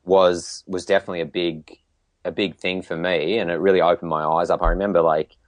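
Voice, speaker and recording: A man's voice: low-pitched, flat delivery, brisk, no room sound. An Australian man from Tasmania chatting casually as an interview guest, telling his story in a hesitant, reflective way. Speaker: podcast guest, PE teacher.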